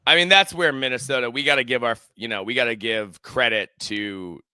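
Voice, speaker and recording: A man in his thirties co-hosting a sports podcast from a room at home on a headset mic, his voice strained from screaming at a game, excitedly rattling off stats. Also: medium pitch, fairly quick, clean tone, no room sound. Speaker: podcast co-host. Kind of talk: casual sports chat. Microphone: headset with studio mic.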